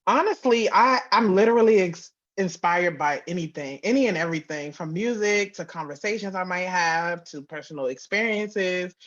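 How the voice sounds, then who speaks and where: Woman guest chatting casually in an interview, says like a lot, animated, kinda muffled lo-fi sound. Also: lowish voice, moderate pace, hardly any pauses. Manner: animated, informal, frequent "like". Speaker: guest, audio drama writer and producer.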